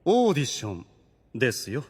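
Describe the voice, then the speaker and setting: A man playing a suave, calculating demon retainer in an anime audio drama, speaking with formal courtesy and measured persuasion. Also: medium pitch, wildly swooping pitch, darkish tone, slightly rough, no echo. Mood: smooth, reasoning.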